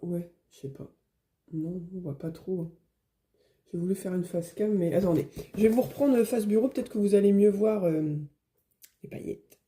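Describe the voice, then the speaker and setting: Hoarse woman vlogging, warm voice. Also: mid-range voice, slight background noise. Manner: rambling, full of fillers and restarts. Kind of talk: casual chat. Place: at home, at her desk.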